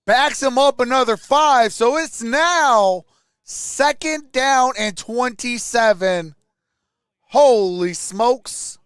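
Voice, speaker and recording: A man with a very high-pitched voice hosts a sports livestream. He takes his time, calling plays and chatting in short reactions. The sound is close and dry. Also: slow, fairly bright tone, clean tone, dry recording. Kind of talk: live reaction play-by-play and chat.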